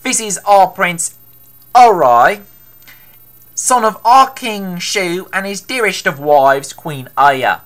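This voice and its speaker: Woman putting on a pompous royal cook, forceful voice. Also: lowish voice, expressive, slow. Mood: formal. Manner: formal, declaiming. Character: pompous, loyal royal cook announcing his prince.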